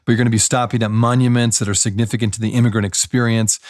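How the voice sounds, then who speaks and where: A man hosting a podcast, asking his guest a long, smooth question to lead him in. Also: medium pitch, little pitch movement, racing, no room sound, studio quality. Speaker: podcast host. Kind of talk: interview question.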